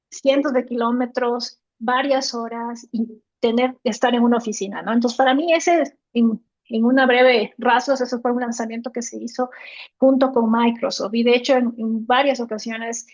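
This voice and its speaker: A woman with a slightly high voice co-hosts a tech podcast, walking listeners through her points step by step and tossing in quick tag questions to check they follow. The sound is dry and a bit dull, with no room around it. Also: dry recording. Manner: explains step by step, often adds "¿no?" tag questions. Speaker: podcast co-host. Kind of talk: explanatory podcast talk on tech.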